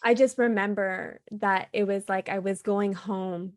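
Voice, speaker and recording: Woman from Canada, guest storyteller, earnest and reflective, mid pitch, dry close mic. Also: fairly even intonation, a little slow, dry recording. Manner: earnest, reflective, with frequent "like" fillers. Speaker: podcast guest. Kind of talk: personal storytelling interview.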